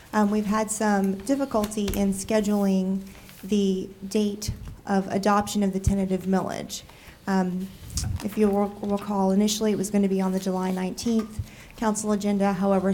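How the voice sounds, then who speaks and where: A woman with a cold, nasal and froggy, presenting a city budget to council in a measured way with frequent ums. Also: medium pitch, mellow, a little hiss or hum. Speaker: city deputy budget director. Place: council chamber.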